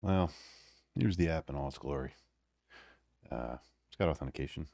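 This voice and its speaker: A man giving a casual, unscripted electronics demo in a halting, self-deprecating way with wry humor. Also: very deep voice, creaky, dark tone, no room sound. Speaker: electronics hobbyist presenter. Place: indoors at a home workbench.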